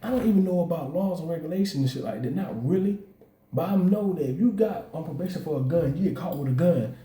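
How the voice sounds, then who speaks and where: Southern male blogger, deep mellow tone, loose profane commentary, roomy echo. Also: animated, fast talker. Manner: rambling, repetitive, profane street slang. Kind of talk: casual commentary vlog.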